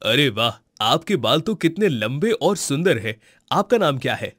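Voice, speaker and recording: A woman voicing an admiring, persuasive male director, eager and flattering, in a story. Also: rumbling low, unhurried, no echo, studio quality, voice pushed down, full character voice.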